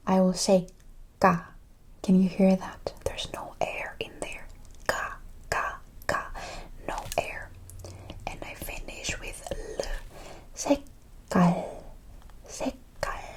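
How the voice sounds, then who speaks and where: Woman whispering a slow, encouraging lesson. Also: mid-range voice, dark tone. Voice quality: breathy whispering. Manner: slow, encouraging, repeating words syllable by syllable. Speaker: Korean language teacher. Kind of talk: whispered ASMR language lesson.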